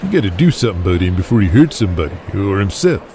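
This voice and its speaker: A man's voice: sits low, big pitch swings, racing, noisy background. A man voicing a rattled but laid-back pro wrestler in an audio drama, speaking with slangy emphasis. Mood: alarmed.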